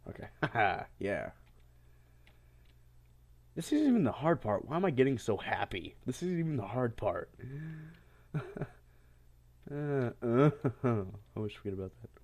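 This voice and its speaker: Congested man yelling over a game. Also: mid-range voice, big pitch swings, takes it slowly, pauses often. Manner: laughs often, yells at failures. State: congested, stuffy-sounding. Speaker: gameplay commentator. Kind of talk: casual let's-play commentary.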